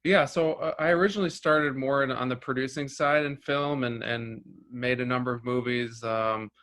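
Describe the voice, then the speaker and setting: A filmmaker whose first language was Russian, talking casually as an interview guest and rambling through many restarts and "you know"s. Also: male voice, medium pitch, steady tone, moderate pace, fairly bright tone.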